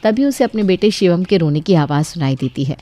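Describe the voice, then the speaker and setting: A woman's voice: fairly low pitch, animated, brisk, mellow. A woman reading a scripted story as a storyteller. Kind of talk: scripted Hindi bedtime story narration.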